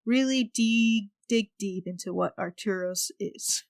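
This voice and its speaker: A woman who plays in a tabletop podcast, answering casually in a laid-back, halting way. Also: mid-range voice, gravelly, dry recording, clean studio sound. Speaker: podcast cast member, tabletop player. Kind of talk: casual, unhurried chat.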